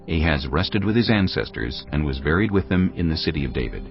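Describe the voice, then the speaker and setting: A male narrator reading scripture in a measured, grave storytelling manner. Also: very deep voice, lively intonation. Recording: dull lo-fi sound over cinematic music. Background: cinematic music.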